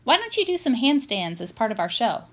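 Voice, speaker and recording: A woman's voice: on the high side, big pitch swings, a little fast, a bit bright. A woman reading a storybook, voicing an eager older schoolboy coaxing his friends.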